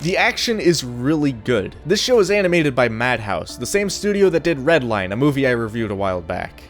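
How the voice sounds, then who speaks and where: Lively young man reviewing, sardonic. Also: mid-range voice, brisk. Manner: casual, sardonic, blunt. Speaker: anime reviewer. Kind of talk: scripted video review narration. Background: faint music.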